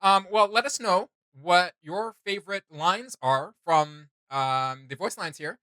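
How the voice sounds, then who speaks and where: A man's voice: high-pitched, unhurried, bright, forceful tone, clean tone, no room sound, low-quality recording. A man in his thirties hosting a casual reaction chat, talking in an enthusiastic, slangy way. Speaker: host of a reaction channel. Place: small home studio room, indoors.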